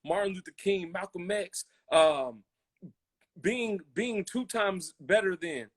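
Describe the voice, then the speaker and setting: High-voiced American man, emphatic podcast talker. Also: lively intonation, slow pace, slightly rough. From military family, grew up in Germany, Colorado and Hawaii. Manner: emphatic, repeats phrases, acts out imagined quotes. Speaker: podcast co-host. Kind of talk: casual, impassioned podcast conversation.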